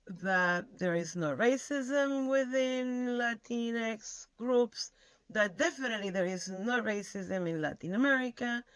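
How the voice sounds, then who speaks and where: A woman's voice: mid-range voice, clean tone, no room sound. A woman from Venezuela, answering interview questions emphatically, often restarting her phrases. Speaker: guest scholar.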